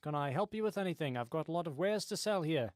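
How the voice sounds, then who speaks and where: A man's voice: fairly high pitch, lively intonation, quick pace, no room sound, studio quality, lightly acted. A man playing a chatty, eccentric market farmer in a radio comedy sketch, rambling in a folksy, matter-of-fact way. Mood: folksy sales patter.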